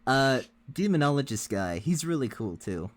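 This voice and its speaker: Animated man co-hosting a casual livestream. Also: mid-range voice, moderate pace. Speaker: co-host of a reaction livestream. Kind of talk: casual chat with stream viewers.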